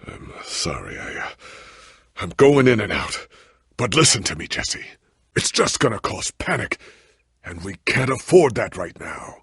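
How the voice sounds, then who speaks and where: Casting: noble veteran swordsman for a game; formal, earnest, theatrical, raspy, unhurried delivery with forceful edge. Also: big pitch swings, dry recording, clean studio sound, exaggerated voice. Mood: weakened, struggling. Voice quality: deep, gravelly, raspy. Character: noble veteran warrior hero, proud, earnest, ailing. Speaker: voice actor in a video game. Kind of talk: dramatic video game dialogue.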